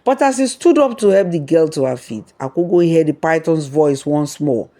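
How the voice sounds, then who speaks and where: Someone narrating a scripted folktale, storyteller type, medium pitch voice. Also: lively intonation, moderate pace, warm tone.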